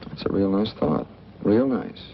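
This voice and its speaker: A cold, guarded man from a 1940s noir. His voice is gravelly and his lines are short and dry. It comes through a muffled, slightly noisy film soundtrack. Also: sits low, expressive, measured pace. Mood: cold, sardonic.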